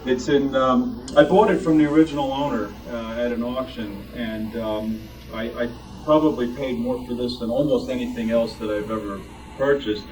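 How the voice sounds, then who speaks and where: A man giving an informal show-and-tell talk as a club member, with a halting, rambling delivery. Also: mid-range voice, a little mellow, recorded from a distance. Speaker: club member presenting vintage items. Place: club meeting room. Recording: noisy room, picked up from afar.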